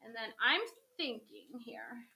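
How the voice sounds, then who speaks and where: A woman's voice: on the high side, sing-song, takes it slowly, sharp tone. A woman hosting a crafting demo, chatting casually to viewers as she paints, a little sniffly from a cold. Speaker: craft host.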